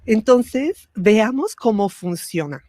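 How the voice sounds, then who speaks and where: A woman whose first language is Italian gives a spiritual teaching talk, slow and measured, with pauses and fillers. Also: medium pitch, lively intonation, warm tone, dry recording, studio quality.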